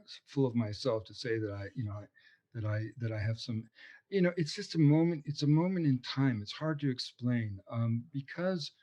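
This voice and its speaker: A man's voice: medium pitch, expressive, normal speed, warm tone. A man, an author and anthropologist, answering interview questions in a passionate, discursive way, often restarting his sentences.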